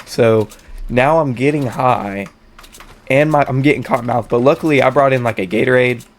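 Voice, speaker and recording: A young man, slightly hoarse and stuffed up with a cold, telling a story in casual, slangy, sweary talk. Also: medium pitch, normal speed. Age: young adult. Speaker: storytelling host.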